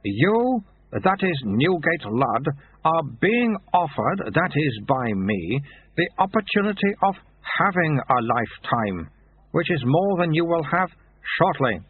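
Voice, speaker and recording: A sly, self-satisfied secret-order monk, put on by a male audiobook narrator, his voice raised and drawn out at a deliberate, teasing pace. It comes across tinny and phone-like, with a hint of huskiness. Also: fairly high pitch, big pitch swings, slightly rough, voice pushed up, heavily acted. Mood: smug. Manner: smug, patient and slyly teasing. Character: mysterious dry-witted male recruiter monk.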